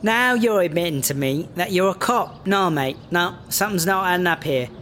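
A man's voice: high-pitched, lively intonation, moderate pace, a bit bright, slight background noise. A man playing a wary, sly British rapper who smuggles on the side, in a comedic audio drama, with a British accent. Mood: amused, suspicious.

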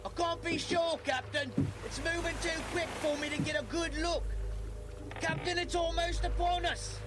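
Very high-voiced, bossy first mate. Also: male voice, steady tone, moderate pace, over music. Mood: alarmed. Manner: salty, urgent shipboard calls. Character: bossy, alarmed male first mate on sailing ship. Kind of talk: audio drama acting.

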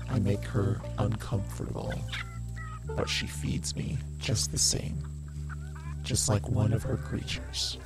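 A woman playing a defiant outcast servant from the eighteenth century, speaking a solemn, measured monologue in an audio drama. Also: a bass voice, gravelly, full character voice, low-quality recording. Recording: loud music over the voice.

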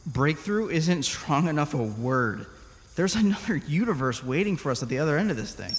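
A man playing an excitable, persuasive explorer scientist in an audio drama, informal and animated. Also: a little high, normal speed, background noise, close to an everyday voice. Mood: excited. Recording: long reverb, music behind. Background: music.

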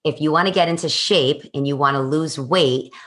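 A woman's voice: deep voice, normal speed, a bit bright. A woman in her forties, a fitness coach, speaking in an emphatic, encouraging way. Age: 40s. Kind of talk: motivational interview answers.

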